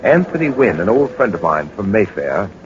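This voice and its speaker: Composed gentleman sleuth, lowish voice, polite and measured, 1940s radio, muffled and hissy. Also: very animated. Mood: scene-setting. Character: suave, composed gentleman adventurer and detective hero. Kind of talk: 1940s radio detective acting with narration.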